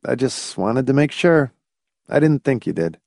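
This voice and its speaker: A man's voice: medium pitch, very animated, a little slow, gravelly, darkish tone, dry recording, studio quality. A man voicing an exasperated, cynical male research statistician in an audiobook, with an emphatic delivery. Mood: dreary. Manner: emphatic, swinging from outburst to weary mutter.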